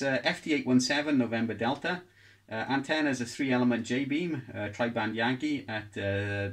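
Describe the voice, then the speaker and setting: A man talking casually as an amateur radio operator, unhurried and repeating phrases for emphasis. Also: mid-range voice. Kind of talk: casual ham radio contact. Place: home radio shack.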